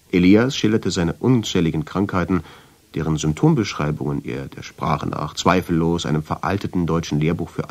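A guilt-ridden man recounting his own tale for a radio drama. His voice sits very low. He speaks in measured, literary sentences with a brooding, wry undertone. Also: darkish tone. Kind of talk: scripted first-person radio drama narration.

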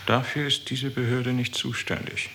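An actor playing a smug, coldly courteous chief of a sinister bureaucracy in a 1960s radio play. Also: male voice, mid-range voice, flat delivery, bright, forceful tone. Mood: smug, proud. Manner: deliberate, self-satisfied, coldly courteous. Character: smug, cold male chief of a death bureaucracy. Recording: old radio recording with faint hiss.

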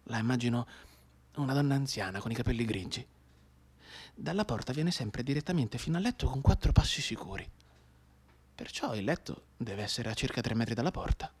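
A man playing a bedridden wounded soldier in a radio drama monologue, intense and soliloquising, with a breathy voice that can drop into whispers. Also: medium pitch, expressive, a little fast. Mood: wistful, tender. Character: bedridden wounded young soldier, feverishly counting time.

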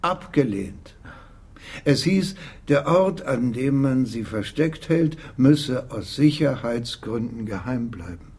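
An actor playing a wary, bitter archaeology professor in a radio drama, husky, measured and formal. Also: male voice, mid-range voice, expressive, darkish tone, slight background noise.

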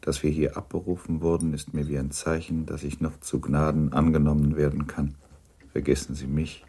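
An actor as the sober, restrained narrator of a period novel in a radio drama, measured, grave and understated. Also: male voice, rumbling low, little pitch movement, mellow, breathy edge, heavily acted.

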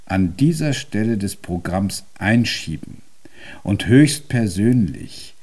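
An actor in a German radio drama playing a stubborn, imperious Viennese composer-pianist, with a formal, deliberate and pompous delivery. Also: male voice, lowish voice, animated, darkish tone. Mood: solemn, resolute.

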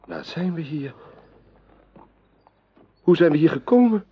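An actor playing an earnest, courteous noble king in a radio drama, with a husky, gravelly voice. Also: a little high, lively intonation, measured pace, no echo. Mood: bewildered, alarmed. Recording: dull, lo-fi radio play recording.

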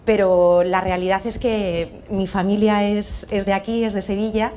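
A woman with a Castilian Spanish accent giving a conference talk in a university auditorium, warm and conversational, with frequent restarts and rhetorical questions. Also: mid-range voice, level tone, moderate pace, a little hiss or hum. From Spain. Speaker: keynote presenter. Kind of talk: conference presentation.

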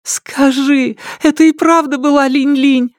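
A man's voice: extremely high pitch, steady tone, unhurried, no echo, pitched above the performer's own voice. A man voicing a distraught, bitter wife and mother in an audiobook, crying out and imploring. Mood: pleading. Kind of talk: audiobook character acting.

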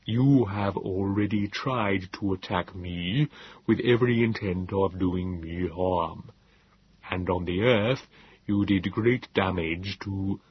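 A man voicing a calm, clinical male Morlock who speaks in a slow, even, measured way. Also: sits low, slightly rough, dull audio, exaggerated voice. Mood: reproachful.

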